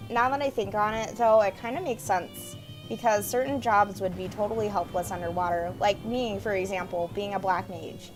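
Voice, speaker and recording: A woman with a raspy, sore throat from allergies, chatting casually in a home voice-over about a video game. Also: mid-range voice, normal speed. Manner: chatty, easygoing, a bit quiet. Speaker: gaming YouTuber. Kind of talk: casual gameplay voice-over commentary. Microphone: home voice-over mic. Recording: voice-over with game music behind.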